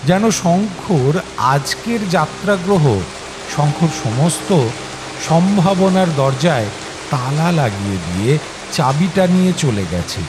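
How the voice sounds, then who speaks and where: Male narrator, slightly high voice, very animated intonation, dramatic suspenseful storytelling, scripted delivery. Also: slow pace, noisy background. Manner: suspenseful, expressive storytelling with acted questions. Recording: music behind the voice. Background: clear background music.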